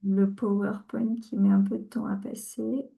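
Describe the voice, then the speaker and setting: A woman's voice: medium pitch, level tone, normal speed, creaky, dull audio. A woman assisting the presenter adds short, reassuring replies from further down the room. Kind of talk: brief off-mic interjections. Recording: distant from mic in roomy echo.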